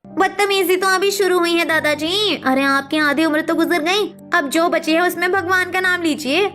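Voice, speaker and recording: A woman's voice: very high voice, moderate pace, pushed and bright, faint music behind. A woman voicing a sassy, protective young daughter-in-law, cheeky and emphatic in a cartoon story. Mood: mocking.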